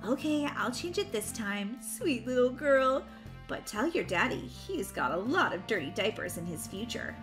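A woman playing a tired, worried young mother who talks in an anxious, hurried way. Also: a little high, sing-song, fairly bright tone, soft background music.

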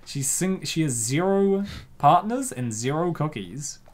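A man's voice: on the high side, animated, a little slow. A man hosting casual comic commentary, jokey and given to exaggerated reactions. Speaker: host.

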